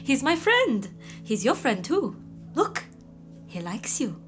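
An actress playing an enigmatic, playful, self-possessed hostess with a teasing, cryptic manner in an intimate audio drama. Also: female voice, sits high, sing-song, takes it slowly, a bit bright, faint music behind, full character voice. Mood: mysterious, teasing. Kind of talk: intimate audio fiction acting.

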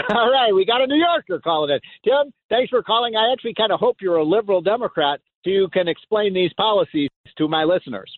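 A man hosting a call-in talk radio show, emphatic and excitable, often laughing as he talks. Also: sits high, fast, few pauses. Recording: tinny phone-like sound.

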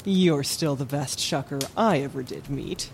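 A woman's voice: very deep voice, unhurried, slight background noise. An actress playing an affectionate, teasing middle-aged woman, a lighthouse keeper and aunt, in fantasy audio drama dialogue. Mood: fond, teasing.

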